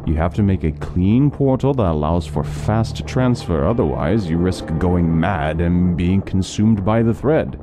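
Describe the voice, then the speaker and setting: A man's voice: low voice, lively intonation, measured pace, hardly any pauses, noisy background. A man playing a chatty scholarly doctor. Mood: explaining. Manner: talkative, lecturing, fond of lore. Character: scholarly male doctor, middle-aged, chatty lore lover. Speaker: voice actor. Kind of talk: interactive fantasy audio story.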